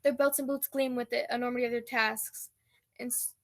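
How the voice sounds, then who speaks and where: A woman's voice: a little high, fairly even intonation, a little fast, lightly acted. An actress playing a pompous, florid female war correspondent delivering a dramatic report in a radio comedy. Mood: solemn, grandiose. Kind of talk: old-time radio news report parody.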